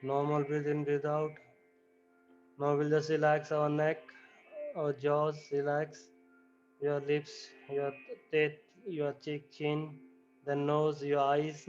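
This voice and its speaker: A man leading a guided yoga relaxation with chanting, giving calm, repetitive instructions and often saying "you know". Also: a little high, dull audio.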